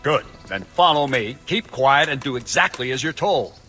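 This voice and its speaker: A disdainful captain of the guard in a medieval game. The voice is hard and bright, with pitch that moves a lot. He hands out orders curtly and sternly. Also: expressive, moderate pace, faint music behind. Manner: curt, commanding.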